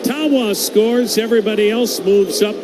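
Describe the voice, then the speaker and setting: A man calling baseball play-by-play for radio in measured, descriptive, short clipped phrases. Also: high voice. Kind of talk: live radio sports commentary. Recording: very noisy live broadcast.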